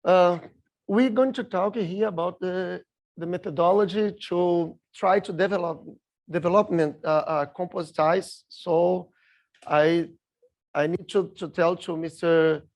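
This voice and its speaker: A middle-aged man with a Brazilian Portuguese accent, giving a halting conference talk full of filler sounds, a Portuguese speaker working in English. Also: high-pitched, a little mellow. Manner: halting, many filler sounds, apologetic about his English. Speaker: industry speaker at a rail seminar. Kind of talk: conference presentation with slides.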